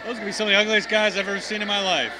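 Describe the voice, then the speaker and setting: A man's voice: sits high, level tone, rapid-fire. A man doing bantering, mocking commentary at a wrestling show. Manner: mocking, snide. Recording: noisy crowd behind commentary. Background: wrestling crowd noise.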